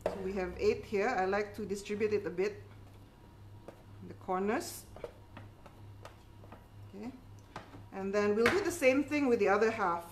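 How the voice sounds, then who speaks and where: A middle-aged woman talks as a home cooking host. Her voice sits at a medium pitch. She is encouraging and informal, explaining each step as casual chat and often adding small tags to check that listeners follow. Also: unhurried, long pauses, a little hiss or hum. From Philippines. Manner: encouraging, chatty, reassuring, with tag questions like okay. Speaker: home baking instructor and cooking show host. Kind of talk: casual cooking demonstration, code-switching chat.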